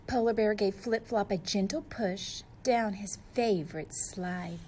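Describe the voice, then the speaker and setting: A woman's voice: medium pitch, a little slow, a little mellow, slight background noise. A woman reading a storybook aloud to young children, expressively and speaking to them directly.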